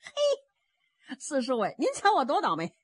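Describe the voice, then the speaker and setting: A woman storyteller voicing an excitable, rambling, whining man over thirty, a hapless underling in a traditional Chinese tale. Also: high-pitched, unhurried, no room sound, clean studio sound, voice pushed up, full character voice. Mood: excited, complaining.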